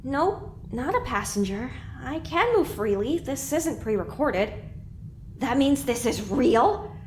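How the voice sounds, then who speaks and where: A young mare, anxious and bewildered, played by a woman. Her voice is high and she speaks slowly and hesitantly, in audio drama style with faint noise behind her. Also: sing-song, full character voice. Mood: disbelieving. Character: young confused mare pony waking from stasis, anxious.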